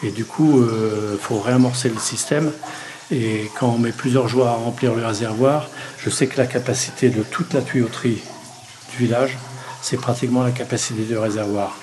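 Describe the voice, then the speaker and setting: A middle-aged village mayor from Normandy, telling his story in a halting way with many pauses and restarts. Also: mid-range voice, steady tone, noisy background. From Normandy, France. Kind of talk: interview testimony.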